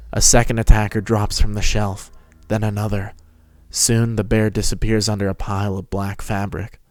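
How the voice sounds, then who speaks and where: A man's voice: fairly low pitch, a little mellow, no echo. A man narrating a story as a dramatic scripted reading. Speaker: narrator, fan fiction reader.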